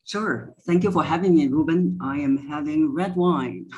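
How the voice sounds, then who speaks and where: A woman who works as a voice coach, answering interview questions conversationally with measured, hesitant phrasing. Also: sits low, a little mellow, highs cut off. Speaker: voice coach and podcast guest.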